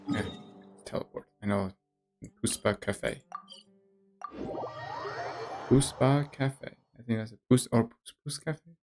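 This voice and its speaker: A man's voice: lowish voice, vocal fry. A man streaming a game, giving casual live commentary in a slangy, teasing way.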